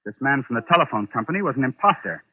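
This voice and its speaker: An actor playing a shrewd, knowing investigator in a 1940s radio suspense drama, deliberate and authoritative. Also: male voice, medium pitch, very animated. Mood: explaining, ominous. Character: shrewd, commanding man investigating a sinister mystery. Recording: muffled old radio drama recording, phone-like.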